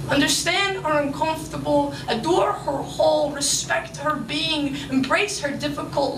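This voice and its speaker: A young woman in her twenties performing spoken word poetry on a small club stage with rhythmic, emphatic delivery. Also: sits high. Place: small club stage under red lights. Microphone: stage mic on stand. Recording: roomy live sound from a distance, noisy.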